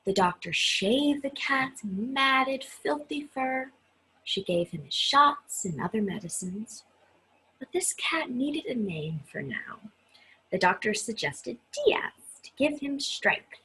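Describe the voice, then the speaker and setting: A woman storyteller with a markedly husky, breathy voice reads a children's book aloud. Her pitch moves up and down in a lively, animated way, with dramatic emphasis on key words and a conversational touch. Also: rough recording. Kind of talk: expressive read-aloud with chatty asides to listeners.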